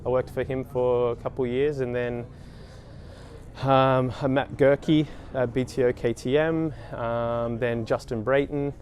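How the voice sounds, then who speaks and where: A man in his thirties, a race mechanic talking casually in an interview, with many pauses and restarts. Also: mid-range voice, level tone, darkish tone, a little hiss or hum. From Australia. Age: 30s. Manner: hesitant, many ums and restarts.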